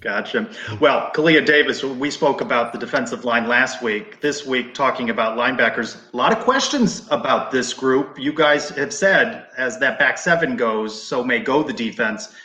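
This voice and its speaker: A man's voice: medium pitch, animated, moderate pace, hardly any pauses, sharp tone. A man with an American accent hosting a sports talk show in a conversational style. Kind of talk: conversational hosting, asking questions. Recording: echoey room sound.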